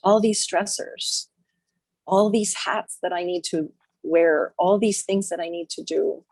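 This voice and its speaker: A woman guides listeners through a healing meditation in a low, husky voice. She speaks softly and slowly in short repeated phrases with long pauses. The sound is dry and close. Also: fairly even intonation, no room sound. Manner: slow, soft, repetitive phrases with long pauses.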